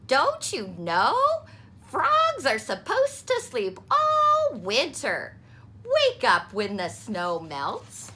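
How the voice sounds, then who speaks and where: A woman's voice: high voice, wildly swooping pitch, drawn-out words, pushed and bright, voice pushed up, heavily acted. A woman voicing a firm mother frog in a children's storybook, calling out to her child in sing-song questions. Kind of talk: children's storybook read-aloud.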